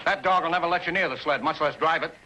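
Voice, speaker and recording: A man's voice: fairly high pitch, quick pace, close to an everyday voice. An actor playing a nervous, worried outlaw henchman in a 1950s television western. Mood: uneasy. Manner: worried, agitated. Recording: noisy old 1950s TV soundtrack.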